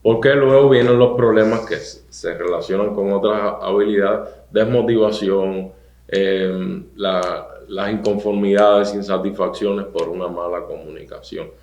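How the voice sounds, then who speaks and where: A man, a podcast co-host speaking deliberately and thinking aloud with fillers. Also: on the low side, steady tone, a little mellow, roomy sound. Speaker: podcast co-host, university professor. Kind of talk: panel discussion on a podcast.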